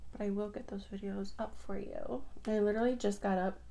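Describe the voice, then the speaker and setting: A young woman chatting casually through a makeup routine, her voice raspy from just waking up, rambling with lots of fillers. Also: mid-range voice, steady tone, brisk, dark tone. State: raspy morning voice. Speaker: beauty vlogger. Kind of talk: casual get-ready-with-me chat.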